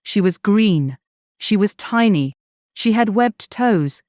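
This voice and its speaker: A woman narrating a children's bedtime story in an expressive, scripted way. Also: mid-range voice, slow pace, no room sound, studio quality.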